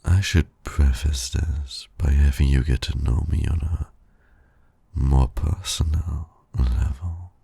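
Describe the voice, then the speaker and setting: A man playing a suave, flirtatious villain in an ASMR romance roleplay, teasing in silky, formal, condescending words with a gravelly, breathy voice. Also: rumbling low, steady tone, slow, dark tone, dry recording, exaggerated voice. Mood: seductive, confiding. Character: suave male villain flirting with heroic nemesis.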